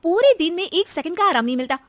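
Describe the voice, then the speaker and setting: A woman's voice: very high-pitched, very animated, quick pace, fairly bright tone, no echo, studio quality, pitched above the performer's own voice, full character voice. A woman voicing a harried, emphatic young daughter-in-law in a cartoon story. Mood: frustrated. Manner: complaining, emphatic.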